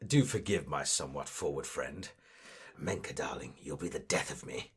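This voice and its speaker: A man's voice: very deep voice, normal speed. A man playing a vain, snobbish amateur actor in a comic audio drama, with affected, gushing, pompous delivery. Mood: fawning, self-important.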